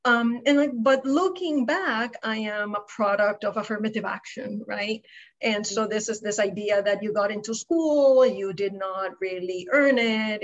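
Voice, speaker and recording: A woman's voice: mid-range voice, lo-fi sound. Middle-aged Puerto Rican woman on a video call. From Puerto Rico. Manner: reflective, explanatory, frequent "right?" tags. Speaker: panelist. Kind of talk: panel discussion on a video call. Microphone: video call webcam.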